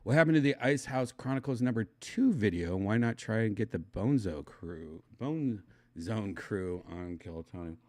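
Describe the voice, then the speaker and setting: A middle-aged man from Wisconsin with a slight lisp, chatting casually and reading his stream chat, loose and mumbling, trailing off into quiet asides. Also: lowish voice, animated, moderate pace, no room sound. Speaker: livestream host reading chat.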